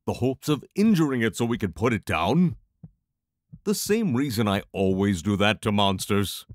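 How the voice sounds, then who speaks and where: A man's voice: on the low side, very animated, normal speed, no room sound, clean studio sound. A man playing a wry, pragmatic lightning mage who reasons aloud in a fantasy audio drama.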